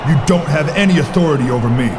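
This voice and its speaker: A man's voice: fairly high pitch, very fast, noisy background, natural voice. A man playing a cocky, defiant villain wrestler in a video game cutscene. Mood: defiant, affronted.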